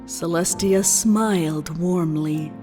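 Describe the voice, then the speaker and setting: A woman narrating a scripted fan fiction story in a measured storybook style. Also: fairly low pitch, mellow. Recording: long reverb, music behind.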